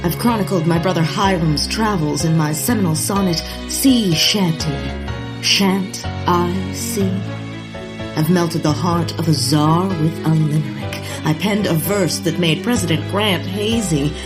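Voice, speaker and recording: An actress playing a self-important, dry-witted lady poet, speaking grandly and deliberately in a comic audio drama. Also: on the low side, over music, close to an everyday voice. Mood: proud, grand.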